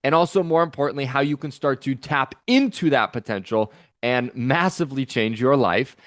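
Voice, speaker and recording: Male podcast host, upbeat and encouraging, middle pitch, dry studio sound. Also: animated, moderate pace, a bit bright, no echo. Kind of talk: podcast intro and interview.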